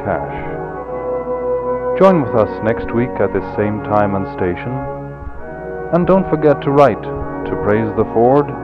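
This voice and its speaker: A man's voice: on the low side, expressive, slow. A man playing a formal announcer, reading a scripted sign-off for a religious radio programme. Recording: tinny radio recording, loud music behind. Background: music.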